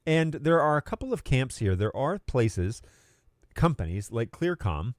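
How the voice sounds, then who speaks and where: A man's voice: mid-range voice, sing-song, a little slow, no room sound, clean studio sound. A man giving an unscripted, conversational tech explainer, thinking aloud and rephrasing as he goes. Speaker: presenter of a tech explainer video.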